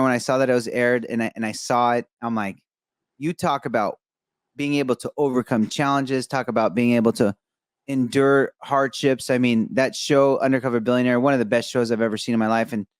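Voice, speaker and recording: A young adult man from Egypt hosts a podcast and talks in an enthusiastic, rambling rush, full of fillers and praise. His voice sits at an ordinary male pitch. The recording is dry and close, with no room sound. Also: no room sound. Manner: animated, effusive, rambling with many fillers. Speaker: podcast host. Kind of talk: enthusiastic interview chat.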